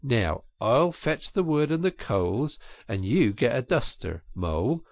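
A man voicing a cheery storybook water rat, bossy and hearty, lively swinging pitch, gravelly edge. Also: unhurried, no room sound, studio quality. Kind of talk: storybook reading.

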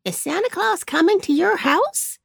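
A woman's voice: extremely high pitch, lively intonation, normal speed, creaky, fairly bright tone, dry recording, clean studio sound, voice pushed up, exaggerated voice. A woman voicing a sighing, downcast little boy in a storybook. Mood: glum. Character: sad little boy without Christmas.

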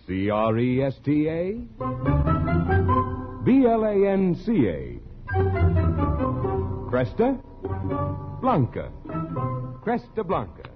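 An American man reading a 1940s radio commercial as announcer, polished, emphatic and deliberately phrased. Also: mid-range voice. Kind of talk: 1940s radio advertising read. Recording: dull vintage radio recording.

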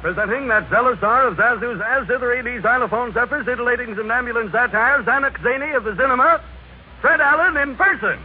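A man's voice: very high voice, fairly quick. A man announcing a 1930s radio variety show with showy, theatrical introductions. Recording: tinny old radio recording, loud music behind.

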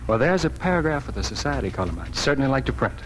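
An actor playing an amiable, wry small-town newspaper editor in a 1940s radio drama. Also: male voice, medium pitch, very animated, very fast, lightly acted. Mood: wry, courting. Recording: noisy old 1940s radio recording.

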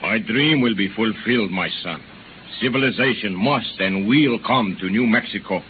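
A man's voice: lowish voice, normal speed, phone-quality sound, natural voice. An actor playing a devout, resolute, fatherly bishop with solemn oratory in a hissy 1930s radio drama.

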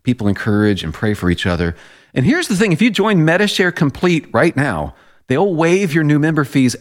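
A man delivering a scripted commercial in a conversational announcer style. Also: mid-range voice.

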